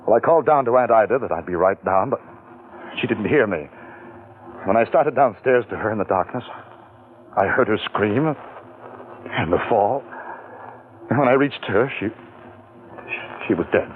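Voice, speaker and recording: Man as a jittery, stammering nephew; low voice, thin old-radio sound. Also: lively intonation, moderate pace, pauses often, slight background noise, lightly acted. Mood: nervous. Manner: stammering, flustered. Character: nervous scheming young man caught in a lie. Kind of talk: 1940s radio acting. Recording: tinny old radio broadcast.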